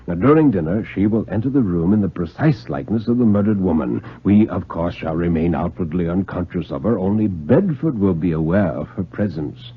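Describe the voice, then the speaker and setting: A man voicing a genial, scheming old retired British police knight, theatrical and deliberate, in a radio drama. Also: deep voice, animated, breathy edge, voice pushed down. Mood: scheming, confident. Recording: muffled 1950s radio recording.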